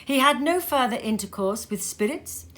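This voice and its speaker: A woman narrating a classic tale as an expressive storybook reading at home, lively and theatrical, with many changes of voice. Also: on the high side, moderate pace. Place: cozy sitting room at home.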